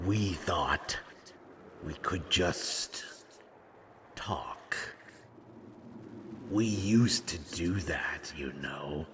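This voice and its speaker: Menacing monster for audio roleplay, super deep halting voice, sad underneath, noisy background. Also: male voice, sharp tone, heavily acted. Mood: cold, taunting. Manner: slow, halting, ominous, speaks of himself as "we". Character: fragmented male monster, servant and unmaker, menacing yet regretful. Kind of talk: scripted roleplay audio drama.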